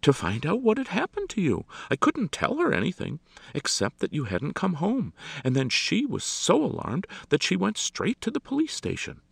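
Storybook landlady, played by a man: flustered and wailing, pitched very high, exclamatory, close dry sound. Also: wildly swooping pitch, moderate pace, gravelly, a bit bright, husky, no echo, heavily acted. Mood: anxious explaining. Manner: gasping, exclamatory. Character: flustered, easily shocked middle-aged landlady, wailing and fretful.

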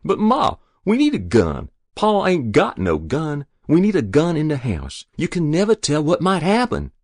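Storybook farm boy, teens, played by a man: rural Southern drawl, raised pitch, earnest, insistent, pleading. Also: animated, normal speed, a little mellow, dry recording, studio quality. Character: eager, pleading teenage farm boy longing for a gun.

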